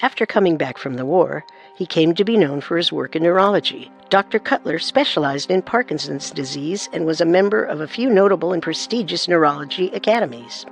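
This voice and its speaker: A woman's voice: on the low side, animated, brisk, creaky, faint music behind. Woman narrating true crime with suspense.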